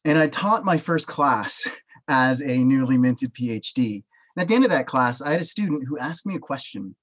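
A man's voice: medium pitch, expressive, moderate pace, a bit bright. A man in his thirties with a Canadian accent, a panelist telling his own experience on a video call from a small home office. He speaks earnestly and with emphasis. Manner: earnest, emphatic, gestures with both hands. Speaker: panelist, professor. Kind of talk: personal testimony on a video call. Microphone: webcam on a video call.

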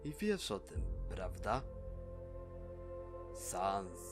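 Guy doing a devoted skeleton little bro, really slow insistent calls, faint music behind. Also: low-pitched, big pitch swings, exaggerated voice. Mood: urgent, encouraging. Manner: emphatic, insistent calling. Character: urgent, loyal skeleton younger brother, heard as a vision. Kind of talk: amateur comic dub acting.

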